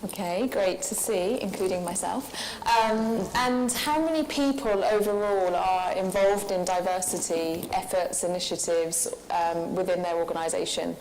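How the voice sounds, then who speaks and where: A soft-spoken woman moderating a lecture, politely putting questions to the audience and the speaker. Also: fairly low pitch, measured pace, few pauses, a little hiss or hum, rough recording. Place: lecture hall with live audience.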